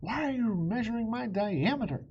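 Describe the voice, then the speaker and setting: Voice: mid-range voice, expressive, normal speed, breathy edge, dull audio, pitched above the performer's own voice. A man voicing a hearty storybook father turned dragon, dramatic and exclaiming. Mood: plaintive.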